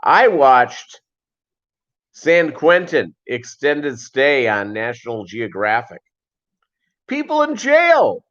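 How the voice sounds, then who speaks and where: A middle-aged man chatting casually as a livestream host, in a rambling, halting way that often repeats phrases. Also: medium pitch, very animated, fairly bright tone. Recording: dull lo-fi livestream audio.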